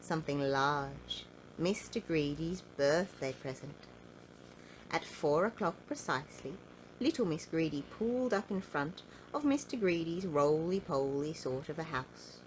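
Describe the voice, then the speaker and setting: A woman reading a children's storybook in an animated, playful way, drawing out key words for emphasis. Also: sits low, a little slow, a little hiss or hum, low-quality recording. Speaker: storybook reader.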